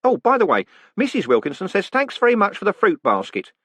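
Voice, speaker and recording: A man's voice: sits high, wildly swooping pitch, fairly quick, fairly bright tone, dry recording, pitched above the performer's own voice, exaggerated voice. A man voicing a cheerful, deferential prison warden who rambles on and calls everyone sir. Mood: chatty.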